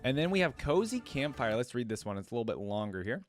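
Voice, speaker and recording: A man's voice: medium pitch, big pitch swings, quick pace. Casual male reaction host over music. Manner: conversational, addresses viewers directly. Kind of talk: casual commentary, reading on-screen text aloud.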